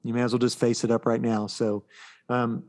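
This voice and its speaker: A man co-hosting a podcast, chatting casually about movies in a halting, storytelling way and often quoting dialogue. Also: mid-range voice, level tone, a little mellow. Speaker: podcast co-host.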